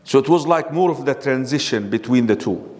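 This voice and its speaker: Male religious teacher, mid-pitched voice, lectures by firing questions at the room, long hall reverb. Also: animated, brisk, a little mellow, slight background noise. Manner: keeps quizzing the audience with questions. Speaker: Islamic lecturer. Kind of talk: classroom lecture.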